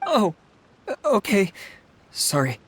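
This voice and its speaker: A man's voice: high voice, huge pitch swings, slow pace, a bit bright, husky, heavily acted. A man playing a timid, fussy civil servant in a video game, hesitant and over-precise. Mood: sheepish.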